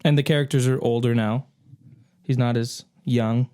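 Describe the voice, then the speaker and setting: A man's voice: medium pitch, fairly even intonation, moderate pace, dark tone, smooth. A man hosting a podcast in a casual, informal group chat among co-hosts. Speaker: podcast host.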